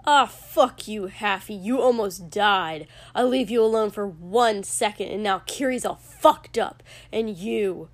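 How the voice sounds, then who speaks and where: A woman voicing a furious young man in an audiobook, sharp and gravelly, barking scornful, foul-mouthed tirades. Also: mid-range voice, measured pace, few pauses, dry recording, natural voice. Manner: barking, swearing, dismissive.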